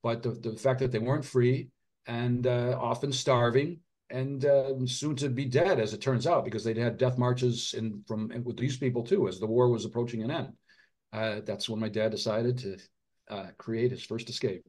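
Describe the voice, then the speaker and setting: A middle-aged man talking in an interview with a mid-range voice. He speaks earnestly and haltingly, often restarting his sentences. At the heaviest points his voice drops low and quiet. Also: fairly even intonation. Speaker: author and physician. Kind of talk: reflective interview conversation.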